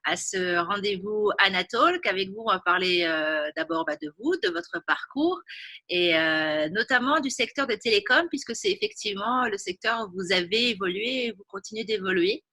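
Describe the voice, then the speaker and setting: A woman's voice: medium pitch, lively intonation, moderate pace, bright, forceful tone, dry recording. A woman hosting an interview, asking long, structured questions with occasional fillers. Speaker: interviewer, host.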